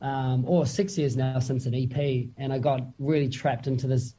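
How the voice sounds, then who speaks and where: A man's voice: mid-range voice, steady tone, moderate pace, dark tone, a little hiss or hum. Laid-back Kiwi man, slangy and chatty. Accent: New Zealand. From New Zealand. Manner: laid-back slangy talk with many fillers and laughs. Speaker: musician guest. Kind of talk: casual interview chat.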